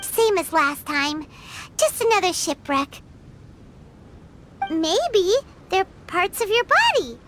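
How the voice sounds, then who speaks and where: A woman's voice: extremely high pitch, sing-song, slow, pauses often, a bit bright, slight background noise, exaggerated voice. A woman voicing a tiny, childlike fairy companion in a video game, cheeky and curious, with a perky, cutesy way of talking. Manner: perky, cutesy, speaks of herself by name. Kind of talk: video game character acting.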